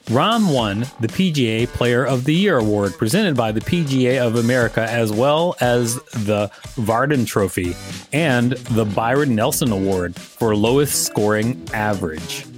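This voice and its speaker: Male co-host, read-aloud narration with dry teasing asides, soft music bed underneath. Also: mid-range voice, expressive, moderate pace. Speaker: podcast co-host reading an article aloud.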